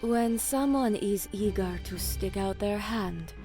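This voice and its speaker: Woman acting as female alien commander, slow measured delivery, terse, shrewd, formal, sci-fi audio drama, music underneath. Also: mid-range voice. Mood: guarded, suspicious.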